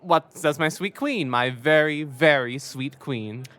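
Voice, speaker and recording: An actor playing a fussy, pompous courtly lord who flatters effusively and repeats himself in a Shakespearean audio drama. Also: medium pitch, slow pace. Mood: flustered, coaxing.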